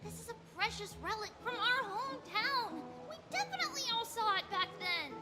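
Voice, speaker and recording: A woman's voice: very high-pitched, lively intonation, moderate pace, over music. A woman voicing a small, earnest, childlike girl in a video game, with a cute, insistent manner. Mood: earnest pleading. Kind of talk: video game character acting.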